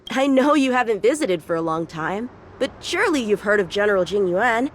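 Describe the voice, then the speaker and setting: A woman's voice: mid-range voice, a little fast, slight background noise, close to an everyday voice. A woman voicing an eager, confident teenage boy swordsman in an English game dub, earnest, polite and talkative. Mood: proud, eager. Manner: earnest, animated.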